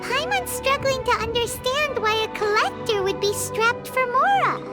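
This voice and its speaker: A tiny floating fairy companion, chirps in a very high, squeaky voice that leaps up and down. She refers to herself in the third person. Game music plays behind. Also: lively intonation, moderate pace. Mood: curious. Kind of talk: game voice acting.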